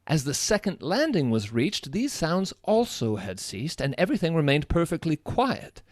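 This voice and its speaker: An excitable gentleman sidekick to a detective in a radio play. His fairly high voice swoops expressively with theatrical emphasis, recorded close and dry. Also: moderate pace, no echo. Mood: dramatic reading. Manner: theatrical, emphatic newspaper-reading delivery. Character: astonished gentleman companion to a brilliant detective. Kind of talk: live radio drama acting.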